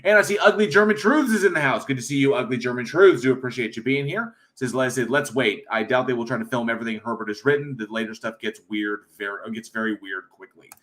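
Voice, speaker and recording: Relaxed man hosting a livestream. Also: mid-range voice, fast, hardly any pauses. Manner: relaxed, rambling, many fillers, reads chat aloud. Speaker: livestream host. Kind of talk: casual livestream chat.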